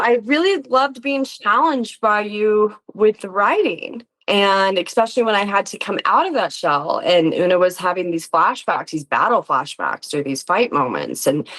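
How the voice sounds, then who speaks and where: A woman chatting casually and reflectively as an interview guest, with an earnest, expressive manner. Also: medium pitch. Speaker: interview guest, actor and musician.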